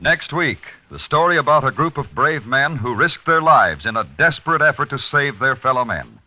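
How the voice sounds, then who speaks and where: A man's voice: mid-range voice, animated, normal speed, sharp tone, slight background noise. A man announcing a radio show in a dramatic 1950s broadcast style. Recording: muffled vintage radio broadcast. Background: music.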